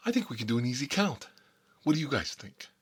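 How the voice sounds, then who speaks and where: Man playing a husky, sardonic mob boss. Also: mid-range voice, very animated, quick pace, voice pushed up. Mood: sarcastic, needling. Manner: rambling, repetitive storytelling with mock threats. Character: gruff mob boss, sardonic, menacing, exasperated family man. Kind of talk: ASMR-style comedic character monologue.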